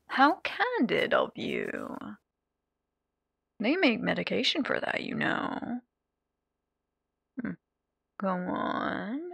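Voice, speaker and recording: A sadistic lady demon in an ASMR roleplay, posing as a therapist. She is mocking and showy, with a highish voice that swoops dramatically. Her words are drawn out slowly and recorded dry and close. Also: vocal fry, fairly bright tone, husky, no echo, full character voice. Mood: taunting, probing. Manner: mocking, showy, drawn-out delivery with whispered asides and pet names. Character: sadistic, theatrical female radio demon playing hotel therapist. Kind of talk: ASMR character roleplay addressed to the listener.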